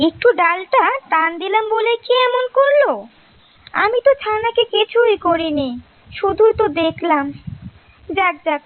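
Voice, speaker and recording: A woman's voice: very high voice, dry recording. A woman playing a chatty, grumbling cartoon housewife who complains and mutters to herself. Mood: flustered. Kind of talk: cartoon story monologue. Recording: narrow-band, phone-like sound.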